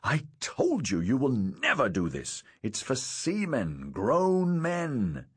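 A man's voice: sits low, big pitch swings, measured pace, breathy edge, full character voice. A man playing a brash, jovial naval captain and father in an audiobook, barking orders and exclaiming heartily. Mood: fuming, alarmed. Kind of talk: audiobook character acting.

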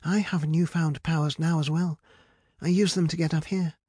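A man's voice: on the high side, fairly even intonation, moderate pace, warm tone, husky, dry recording, clean studio sound, voice pushed up. A man voicing a cautious, scolding young woman, an insistent elder sister in a fantasy storybook.

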